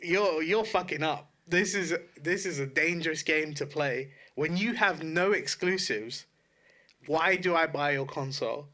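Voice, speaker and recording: Bright-voiced, higher-pitched man, blunt podcaster. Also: a little slow. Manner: blunt, slangy, sweary. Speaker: podcast co-host. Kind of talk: casual podcast chat about video games.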